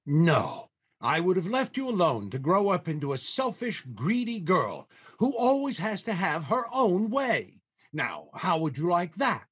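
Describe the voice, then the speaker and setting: Man acting an exasperated older husband, swooping pitch. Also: very animated, measured pace, a bit bright, husky, full character voice. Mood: stern, weary. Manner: theatrical, scolding. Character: exasperated, long-suffering grumbling husband and father. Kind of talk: old-time radio comedy acting. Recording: slightly dull online recording.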